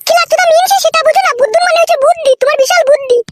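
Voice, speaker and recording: A performer voicing a sharp-tongued, nagging wife in a comedy cartoon. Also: very high-pitched, racing, heavy background noise. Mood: teasing admiration. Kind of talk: Bengali comedy cartoon dubbing.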